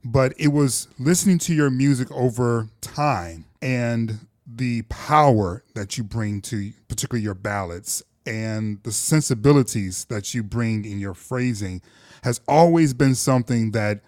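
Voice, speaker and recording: A man hosts an interview, speaking in a playful, effusive way with sassy asides. His voice sits at a middle pitch, and the sound is dry and close, with no room echo. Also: a little mellow. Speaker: podcast host. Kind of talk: conversational interview.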